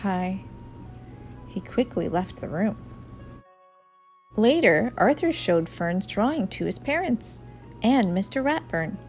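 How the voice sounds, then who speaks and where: Female storybook narrator, slow and very expressive, light music underneath. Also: mid-range voice. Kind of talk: expressive scripted read-aloud narration.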